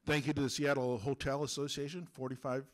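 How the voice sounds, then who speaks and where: A middle-aged man, hoarse from a cold, giving halting remarks into a handheld mic at a school board meeting, clearing his throat now and then. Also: mid-range voice, level tone. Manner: halting, many fillers. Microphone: handheld microphone held close.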